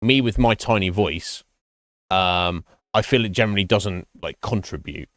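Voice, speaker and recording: Low-voiced man musing on stream. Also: a bit bright, no echo, clean studio sound. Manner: thoughtful, halting, talks his answers through slowly. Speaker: Twitch streamer. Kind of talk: casual live-stream chat with viewers.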